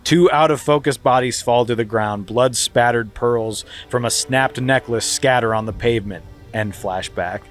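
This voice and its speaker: A man's voice: mid-range voice, moderate pace, hardly any pauses, soft background music. A man hosting a podcast, reading a screenplay aloud in a mock-dramatic comedic way. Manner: mock-dramatic, breaking into laughter. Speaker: podcast host.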